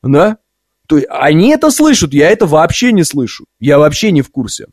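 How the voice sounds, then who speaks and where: Male talk-radio host, voice a bit high, conversational and opinionated with rhetorical questions, very dry studio sound. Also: sing-song, fairly quick, a little mellow, husky, no echo. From Orenburg region, Russia. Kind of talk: live opinionated radio monologue. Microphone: close studio microphone.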